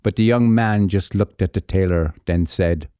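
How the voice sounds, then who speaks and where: A man narrating a folk tale as a storyteller, measured and theatrical, with deliberate pauses. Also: low-pitched, mellow, smooth, no room sound, clean studio sound. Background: music under the voice.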